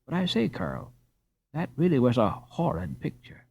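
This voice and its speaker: A man's voice: medium pitch, wildly swooping pitch, moderate pace, vocal fry, slightly rough, no echo, full character voice. Uneasy young boy for a storybook, played by a man, confiding and suspicious, dry dull recording. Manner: whispering confidentially.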